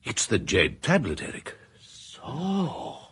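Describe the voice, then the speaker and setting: An eager husky treasure seeker. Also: male voice, mid-range voice, takes it slowly, exaggerated voice. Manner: eager, excitable. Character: Troth, an intense young treasure seeker.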